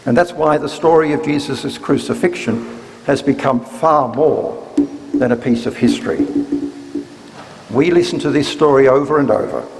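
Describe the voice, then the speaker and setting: A man giving a solemn scripted church introduction with measured, deliberate phrasing. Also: medium pitch, vocal fry, a little mellow. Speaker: church reader introducing a service. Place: large church, live reading. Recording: reverberant church hall, faint noise.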